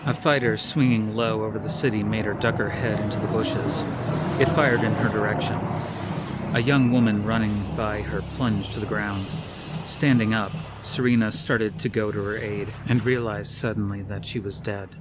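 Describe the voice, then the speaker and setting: A man's voice: lowish voice, steady tone, moderate pace, background noise. A man reading a dramatic story as scripted audiobook narration.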